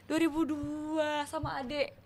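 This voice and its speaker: A woman's voice: sits high, little pitch movement, measured pace, darkish tone. Chatty young woman podcast host. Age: 20s. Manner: chatty, curious, laughs often. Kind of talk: casual podcast chat.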